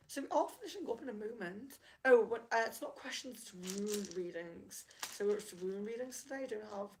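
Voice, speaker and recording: Creaky-voiced woman on a livestream. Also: fairly high pitch, slight background noise, poor audio. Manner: apologetic, chatty, reads out viewer comments. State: losing her voice, slightly hoarse. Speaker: livestream rune reader. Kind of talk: casual livestream chat.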